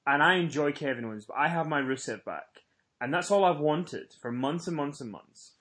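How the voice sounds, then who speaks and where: Male podcast co-host, voice a bit on the high side, informal, emphatic fan talk. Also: animated, normal speed, fairly bright tone. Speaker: podcast co-host reviewing wrestling. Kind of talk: casual chat, fan commentary.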